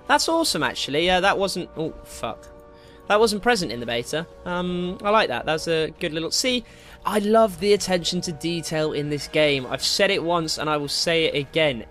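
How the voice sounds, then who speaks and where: Gaming host: young British male, bright and a touch high, casual, jokey, rambling commentary. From Britain. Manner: rambling, easily distracted, jokey.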